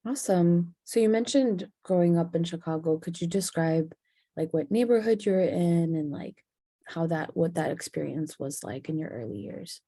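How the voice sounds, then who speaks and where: Woman interviewer. Very soft dark timbre, dry sound, courteous informal questioning. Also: on the low side, moderate pace, vocal fry, dry recording. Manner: polite, casual. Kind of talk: oral history interview questions.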